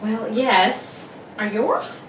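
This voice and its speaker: A woman playing an indulgent, amused suburban mother in a radio drama, with a gently teasing manner. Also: on the high side, huge pitch swings, extremely slow, full character voice. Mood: pleased, chatty. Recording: roomy, distant mic, faint noise.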